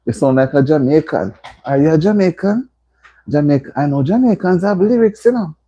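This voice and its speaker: A woman with a husky, deep, mellow voice chats on a livestream from home. She reads viewer comments aloud, laughing and teasing as she goes. The audio is dull and lo-fi. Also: expressive, fairly quick. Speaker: livestream host. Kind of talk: casual livestream chat. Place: indoors at home.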